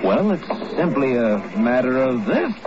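An actor playing a sly, mocking, menacing robber in a 1950s radio drama. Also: male voice, mid-range voice, sing-song, measured pace. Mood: sly, probing. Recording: noisy lo-fi 1950s radio recording.

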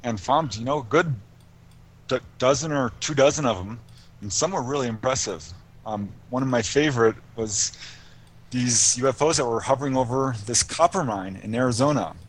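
A man's voice: medium pitch, moderate pace. A man giving informal, explanatory answers as an interview guest. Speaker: guest, UFO researcher.

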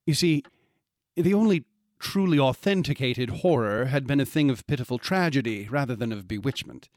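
A man's voice: mid-range voice, expressive, darkish tone, dry recording, natural voice. Man playing a grave storyteller, very dry sound. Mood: ominous, cryptic. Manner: measured, storytelling, ominous. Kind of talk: radio drama acting.

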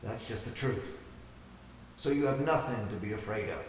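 An actor playing a righteous, authoritative camp preacher, praying and preaching solemnly and emphatically in a horror audio drama. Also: male voice, mid-range voice, moderate pace, lightly acted. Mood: assured, preaching. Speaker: preacher leading prayer at a religious camp. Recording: echoey hall, distant mic, faint noise.

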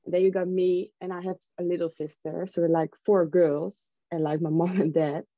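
A woman's voice: fairly low pitch, little pitch movement, moderate pace, dry recording, highs cut off. A young woman, chatting casually as an interview guest. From Netherlands. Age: young adult. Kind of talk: casual chat.

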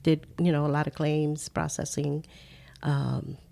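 An older woman from the Caribbean, a guest in an interview, speaking unhurriedly and halting with fillers. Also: low-pitched, flat delivery, vocal fry, mellow. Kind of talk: casual interview chat.

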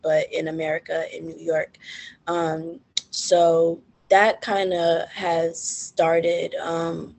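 Hesitant young woman, low and flat. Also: low-quality recording. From Haiti, raised in the US Northeast. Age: 20s. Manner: hesitant, many "um"s, thoughtful. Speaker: interview guest. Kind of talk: casual interview talk. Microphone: video call.

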